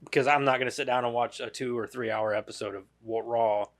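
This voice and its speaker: A man's voice: mid-range voice, fairly even intonation, fast talker. A man co-hosting a podcast in laid-back casual chat, peppering his talk with "man" and "like". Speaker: podcast co-host.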